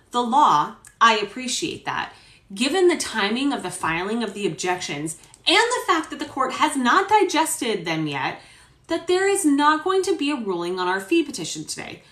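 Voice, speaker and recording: Indignant attorney, acted by a woman, formal dramatic-reading delivery, very animated pitch, roomy sound. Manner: formal, emphatic, self-righteous. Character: indignant, emphatic male defense attorney arguing in court. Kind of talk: dramatic reading of court argument. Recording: roomy echo.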